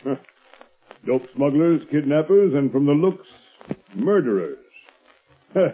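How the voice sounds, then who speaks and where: Husky, blustery police commissioner. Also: male voice, on the high side, animated, a little slow. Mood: smug. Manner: blustery, self-satisfied. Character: smug, gruff police commissioner rounding up crooks. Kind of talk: 1930s radio drama acting. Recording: tinny 1930s radio recording.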